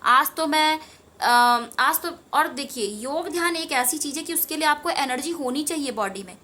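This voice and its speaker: High, bright-voiced woman in informal spiritual talk. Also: lively intonation, moderate pace. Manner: earnest, rambling, repeats phrases for emphasis. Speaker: tarot reader and meditation teacher.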